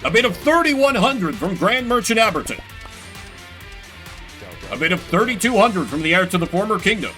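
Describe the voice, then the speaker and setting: A man voicing a formal game auctioneer who calls out bids in a crisp announcing manner. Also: sits high, sing-song, moderate pace, long pauses, bright, forceful tone, soft background music, pitched above the performer's own voice, exaggerated voice. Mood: brisk, rising excitement.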